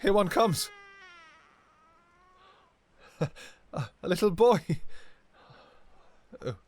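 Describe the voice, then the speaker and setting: A man playing an anguished, devoted vampire king in a fantasy romance audio roleplay, speaking in halting, pleading, formal old-fashioned phrases. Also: high voice, expressive, dry recording, heavily acted. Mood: joyful, tender. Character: anguished, devoted vampire king, obsessive lover and new father.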